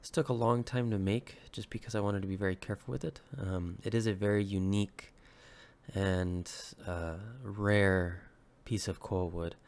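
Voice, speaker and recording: A man in his thirties from Hawaii, still a little sick, showing off handmade pieces in a casual vlog, with plenty of ums and uhs and quick self-corrections. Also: lowish voice. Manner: relaxed, many ums and uhs, corrects himself. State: slightly sick, recovering from illness. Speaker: woodworker and weapon maker. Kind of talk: casual show-and-tell vlog.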